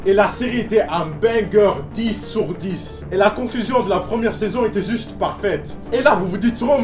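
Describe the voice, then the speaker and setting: A young man giving casual, slangy commentary on cartoons, animated and emphatic. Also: high voice. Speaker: video essayist.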